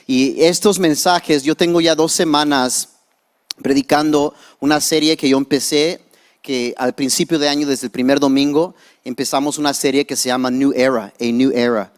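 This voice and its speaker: A man's voice: medium pitch, fairly even intonation, moderate pace. A young male pastor, a native English speaker, preaching a sermon with casual asides and restarts.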